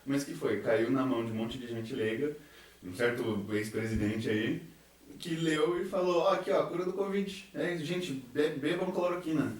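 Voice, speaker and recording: A man's voice: medium pitch, normal speed. A young Brazilian man chatting casually on a podcast, giving his opinions in an informal way. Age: young adult. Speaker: university student in a science theatre group. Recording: very echoey room, distant from microphone.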